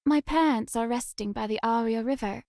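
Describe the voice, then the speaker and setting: A woman playing a solemn, mysterious young witch girl in a visual novel, speaking in formal, measured phrases. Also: on the high side, creaky, darkish tone, dry recording, clean studio sound. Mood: earnest. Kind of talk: visual novel character acting.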